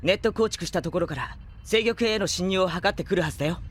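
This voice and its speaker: An actor playing a calm, perceptive young spaceship crewman with gentle, composed speech in a Japanese audio drama. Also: high voice, fast talker. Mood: urgent.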